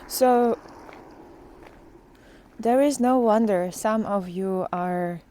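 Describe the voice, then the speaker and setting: A woman giving a casual, unscripted spiritual monologue in a reflective, halting way, often trailing into pauses. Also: medium pitch, mellow. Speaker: spiritual teacher vlogger.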